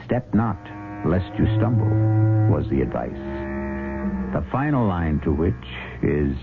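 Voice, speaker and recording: A man's voice: low-pitched, big pitch swings, measured pace. An American man hosting a 1970s radio mystery, narrating in a dramatic, portentous style with rhetorical flourish. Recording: muffled vintage radio broadcast, loud music.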